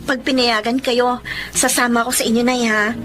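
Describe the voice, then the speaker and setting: High female voice, young dutiful daughter, affectionate, polite, concerned, Filipino radio drama, acted by a woman. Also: flat delivery, moderate pace, lightly acted.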